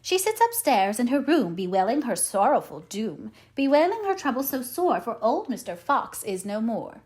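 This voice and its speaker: Storybook maid cat, female reader: courteous, singsong, very animated pitch. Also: moderate pace. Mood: mournful reporting. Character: polite, singsong maid cat serving a widow fox. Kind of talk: storybook read-aloud character voice.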